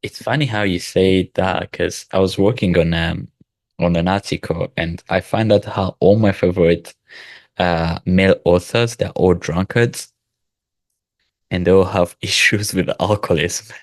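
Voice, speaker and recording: A man down with the flu leads a relaxed video-call chat. His voice sits very low, with a gravelly, fry-heavy texture. The sound is close and dry. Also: a little slow, no room sound. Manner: relaxed, laughs often, asks reflective questions. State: sick with flu. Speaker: host.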